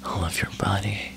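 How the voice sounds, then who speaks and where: A man whispering softly in a teasing way, with breathy laughs, in an intimate ASMR roleplay. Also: low-quality recording.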